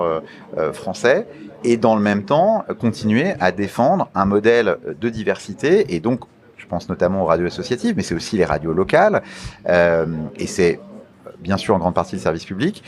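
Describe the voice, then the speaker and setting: Guy w a lowish voice answering in a formal interview, careful, pauses a lot. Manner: measured, deliberate, halting with frequent pauses. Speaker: interview guest, media regulator official.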